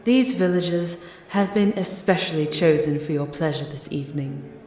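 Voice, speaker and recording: An echoing, fairly low woman's herald voice, formal and grand. She makes ceremonial announcements to a vampire court in an audio drama, with long hall reverb and music behind. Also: normal speed, a little mellow, close to an everyday voice. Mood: ceremonial, ominous.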